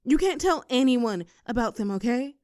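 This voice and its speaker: Casting: woman as teen cheerleader bully for audio drama, higher voice, sarcastic but secretly soft, close dry sound. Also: lively intonation, moderate pace, dry recording, lightly acted. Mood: shy, confessing. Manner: teasing, sarcastic, with flustered stammers when cornered. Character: snarky popular high school cheerleader bully hiding a soft crush. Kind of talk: audio roleplay acting, one side of a dialogue.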